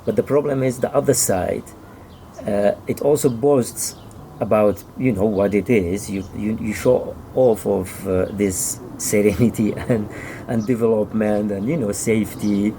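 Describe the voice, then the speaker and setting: A middle-aged man with a Kurdish accent, a native Kurdish speaker, giving halting, conversational interview answers as he searches for words. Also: fairly low pitch, fairly even intonation, mellow, slight background noise. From Iraqi Kurdistan. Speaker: author and publisher, interview guest.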